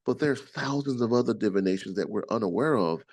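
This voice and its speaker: A man's voice: fairly low pitch, animated, brisk, a little mellow, no echo. A middle-aged man with a rich, soothing voice, talking expansively about spiritual self-help as an interview guest. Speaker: author and podcast guest. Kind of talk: casual interview chat.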